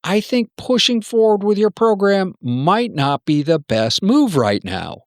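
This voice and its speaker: Give the part of a guarded software executive to a man acting it out. He is blunt and persuasive, always cautioning, with pitch that moves around a lot. The radio-play dialogue is recorded close and dry. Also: sing-song, measured pace, no room sound, full character voice. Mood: dismissive. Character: wary, self-protective male senior software executive.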